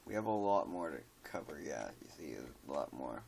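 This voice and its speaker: A man's voice: a bass voice, very animated, moderate pace, vocal fry, darkish tone, a little hiss or hum. A tired man casually reads a scary story aloud, stumbling over words and joking about them.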